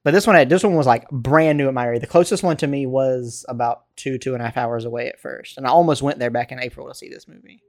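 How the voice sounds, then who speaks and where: An American man chatting casually and telling stories in a rambling, animated way. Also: mid-range voice, fast, hardly any pauses, no room sound, studio quality. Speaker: podcast co-host. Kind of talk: casual chat, storytelling.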